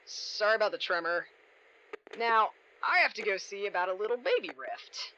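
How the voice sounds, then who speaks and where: Over a muffled, slightly noisy two-way radio comes a woman playing a cocky, gleeful scientist in an audio drama. The voice is bright and forceful, with a brash, mock-formal delivery. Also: mid-range voice, lively intonation, moderate pace. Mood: smug, playful. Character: cocky, gleeful female scientist, sweary and excitable. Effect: two-way radio filter.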